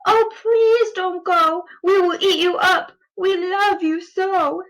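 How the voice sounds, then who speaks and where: A woman voicing clingy, affectionate wild monsters in a storybook, pleading in a drawn-out, imploring way. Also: very high-pitched, fairly even intonation, fairly bright tone, pitched above the performer's own voice, exaggerated voice, rough recording.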